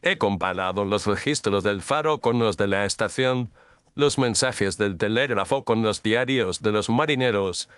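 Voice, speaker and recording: A man playing a composed, incisive detective in an audio drama, speaking formally and with measured declamation. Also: lowish voice, fairly bright tone, smooth, no room sound. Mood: composed, accusing.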